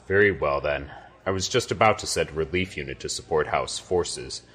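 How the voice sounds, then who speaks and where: A man playing a commanding, weary but fair army general in an audio drama, measured and authoritative. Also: extremely low pitch, fairly bright tone, breathy edge, exaggerated voice. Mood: weary, skeptical. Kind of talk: scripted audio drama acting.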